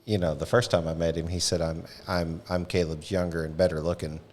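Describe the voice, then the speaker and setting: A man's voice: low voice, little pitch movement, a little fast, a little mellow. A man hosting a podcast in a casual, jokey, folksy style of interview banter. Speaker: podcast host.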